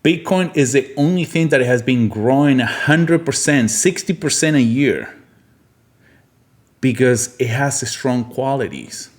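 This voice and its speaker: A man's voice: mid-range voice, lively intonation, a little slow. A man from Argentina hosts an unscripted, opinionated monologue, speaking bluntly and emphatically and often repeating himself. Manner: blunt, emphatic, repetitive, swearing. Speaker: podcast host, physician.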